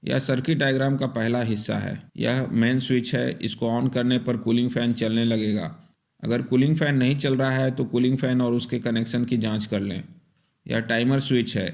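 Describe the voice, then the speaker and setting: A man narrates a technical repair tutorial from a script. His voice sits at a medium pitch, and he explains each part methodically, one step after another. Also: creaky, darkish tone.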